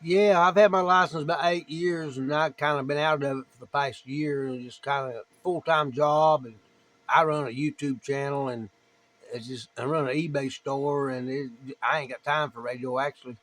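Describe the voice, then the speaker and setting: A man's voice: a little high, fairly even intonation, normal speed, a bit bright. A man with an East Tennessee accent chatting casually as a ham radio operator, in a folksy, plain-spoken way. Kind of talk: casual chat and demonstration over ham radio.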